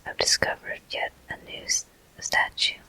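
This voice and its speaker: A gaming host giving gentle, soothing ASMR commentary in an unhurried, breathy, unvoiced whisper.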